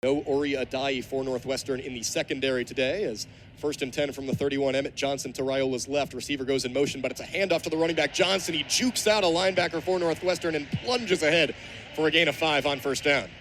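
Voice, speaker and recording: A man's voice: medium pitch, fairly even intonation, fast talker. A young man doing live football play-by-play on the radio as the main commentator. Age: young adult. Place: outdoor football stadium broadcast. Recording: stadium broadcast with loud crowd-like ambient noise.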